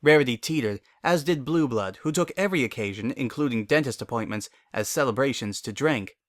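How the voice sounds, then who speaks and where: A man's voice: mid-range voice, animated, fairly quick, no room sound, studio quality. A man giving a scripted dramatic narration of a story.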